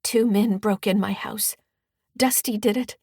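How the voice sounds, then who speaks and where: A woman's voice: mid-range voice, steady tone, a little slow, a little mellow, slightly rough, no room sound, clean studio sound, voice pushed up. A woman voicing an outraged, firm older mother in an audiobook, speaking emphatically. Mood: shaken. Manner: scolding, emphatic. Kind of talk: audiobook character dialogue.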